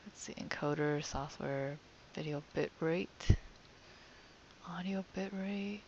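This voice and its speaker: A woman's voice: low voice, slow, a little mellow, dull audio, a little hiss or hum. A young woman giving casual let's play commentary as a game streamer, often murmuring to herself.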